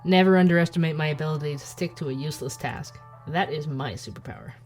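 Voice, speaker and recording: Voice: medium pitch, fast, music in the background, close to an everyday voice. An actor playing a persistent investigative podcast reporter in an audio drama, narrating in a dry, wry, conversational way. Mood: dry, resigned.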